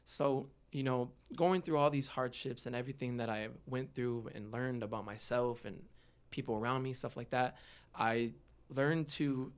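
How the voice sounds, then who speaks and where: Male podcast guest, twenties. Heartfelt, open, conversational delivery at an average pitch. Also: normal speed, clear, resonant tone. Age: 20s. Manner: earnest, heartfelt. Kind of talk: candid conversational interview.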